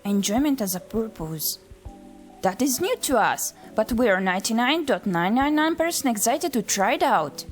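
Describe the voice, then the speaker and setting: Woman as earnest non-human visitor for a game, formal and exact, higher voice with lively melody. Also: moderate pace, faint music behind. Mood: earnest, curious. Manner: precise, formal.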